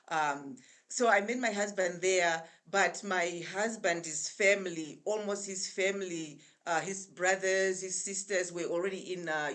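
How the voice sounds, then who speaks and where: A woman in her sixties with a Zimbabwean accent speaks in a fairly low voice. She tells her story in a relaxed, chatty way, pausing often to gather her thoughts. She is heard over a remote call. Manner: chatty, unhurried storyteller with frequent pauses. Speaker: guest. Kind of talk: casual storytelling interview.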